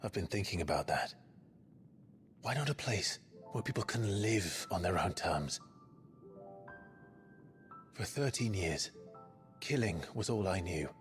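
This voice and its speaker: A deep-voiced, brooding young man in a video game drama. He speaks in a grave, measured way, like a burdened former killer set on doing right, with music playing underneath. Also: lively intonation. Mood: earnest resolve.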